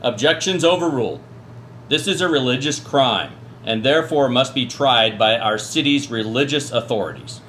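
A man playing a judge in a church radio play, slow and formal. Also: mid-range voice, a bit bright, a little hiss or hum.

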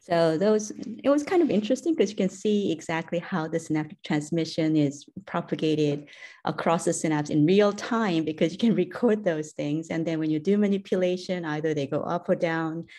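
A middle-aged woman from South Korea, a native Korean speaker, talks through a video call about her research. Her voice sits low for a woman. She speaks thoughtfully, pausing on "um"s and slipping in light laughs. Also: warm tone. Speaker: neuroscience professor, guest. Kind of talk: casual interview chat. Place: home room, indoors.

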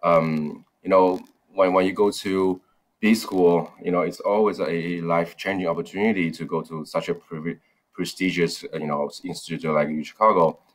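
A man's voice: deep voice, fairly even intonation, normal speed. A man, a startup founder on a video call, talking hesitantly with many fillers and restarts. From China. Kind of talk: interview over video call. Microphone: video call, webcam.

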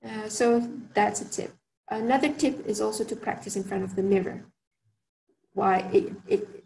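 A woman from Malaysia talks through tips in an online workshop, her soft voice carrying a gravelly fry. She chats loosely, with fillers and restarts. Faint noise sits under her computer mic on the call. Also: medium pitch, poor audio. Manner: chatty, frequent fillers and restarts. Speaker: workshop speaker. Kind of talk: online presentation talk.

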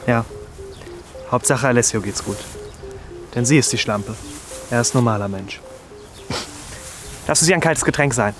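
A man's voice: medium pitch, normal speed, pauses often, fairly bright tone, soft background music, natural voice. A young man playing a bossy, solemn butler in a scripted web series, stern and instructing, outdoors. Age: young adult. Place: outdoors in a garden.

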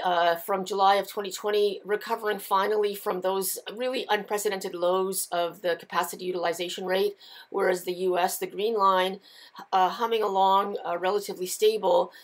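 A woman's voice: medium pitch, level tone, moderate pace. Middle-aged woman, conversational explainer. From Canada. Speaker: lumber market analyst and host. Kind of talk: informal market commentary to camera. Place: small indoor office with shelves of files.

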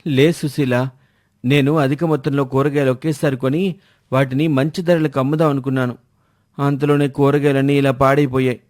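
A man's voice: medium pitch, little pitch movement, normal speed, a little mellow, natural voice. Man playing a hapless, plaintive grown son. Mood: apologetic. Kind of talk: moral story character voice.

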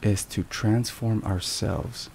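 A low-voiced man delivers scripted devotional narration in a hushed ASMR style. His delivery is very slow, measured and reflective. Rain and thunder are clearly heard behind him. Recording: steady rain and thunder noise. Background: rain and thunderstorm sounds.

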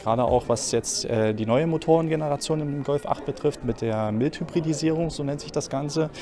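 A man in his thirties giving a spontaneous interview as a sales consultant, explaining things in halting phrases. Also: a little high, over music. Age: 30s. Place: busy car showroom, indoors. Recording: busy showroom crowd noise. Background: crowd chatter.

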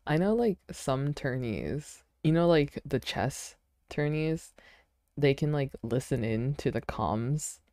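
A woman streamer chatting casually with friends while she draws, relaxed and laughing often with lots of fillers. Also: extremely low pitch, takes it slowly, dry recording, studio quality. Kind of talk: casual chat while drawing.

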